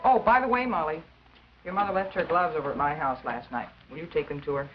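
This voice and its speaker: A woman playing a kindly, practical American school nurse who narrates a 1950s educational film in a measured, reassuring manner. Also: low voice, a little hiss or hum, natural voice. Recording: dull 1950s film soundtrack.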